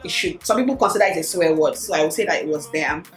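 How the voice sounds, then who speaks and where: A woman's voice: low-pitched, fast talker. A woman in her thirties with a Nigerian accent, chatting casually to her viewers, often repeating words and laughing. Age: 30s. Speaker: vlogger. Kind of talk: casual chat answering a tag. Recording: echoey room with faint music.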